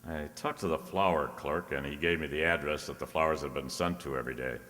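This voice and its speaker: An actor playing a wisecracking hard-boiled private detective in a radio play, with a dry, sardonic delivery. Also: male voice, rumbling low, quick pace, fairly bright tone. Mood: brooding narration. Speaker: amateur radio drama actor. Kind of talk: old-time detective radio play acting and narration. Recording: echoey hall, long reverb.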